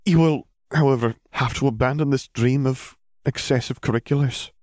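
A man voicing a stern older female teacher at a magic school, speaking in a formal, precise, measured way. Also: medium pitch, a little mellow, no room sound, clean studio sound, voice pushed up, lightly acted. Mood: wry, dry.

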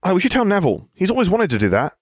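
A man's voice: sits high, huge pitch swings, racing, no room sound, clean studio sound, pitched above the performer's own voice, exaggerated voice. A man voicing a cheerful teenage girl with a chirpy, breezy way of talking.

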